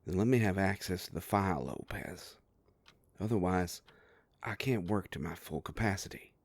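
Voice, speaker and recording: A man playing a sardonic, grumbling werewolf private investigator with a soft heart, in an audio roleplay drama. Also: moderate pace, no echo. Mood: exasperated, defensive. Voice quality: low gravelly voice.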